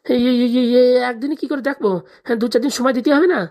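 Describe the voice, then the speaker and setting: Casting: man to play exasperated, henpecked husband, comic cartoon, quick plaintive protests. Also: very high voice, steady tone, a little mellow. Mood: protesting. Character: henpecked, exasperated husband, a small-time village politician.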